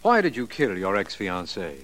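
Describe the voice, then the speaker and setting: A man's voice: on the low side, wildly swooping pitch, moderate pace, a bit bright. An actor playing a stern male official in a 1940s radio drama, speaking firmly and with command. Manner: firm, commanding. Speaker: official backing up the detective.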